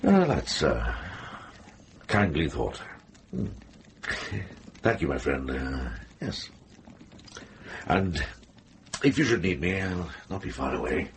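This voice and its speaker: An actor in a radio drama playing a kindly, self-blaming medieval monk with a measured manner. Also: male voice, extremely low pitch, sing-song, slight background noise, heavily acted. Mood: thoughtful.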